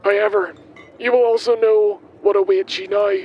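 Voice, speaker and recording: A man's voice: steady tone, normal speed, dull audio. A man playing an eccentric milkman who delivers his taped last will in a grandiose, ominous, theatrical way, in audio drama style. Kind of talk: scripted audio drama monologue. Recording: noisy taped message with background rumble.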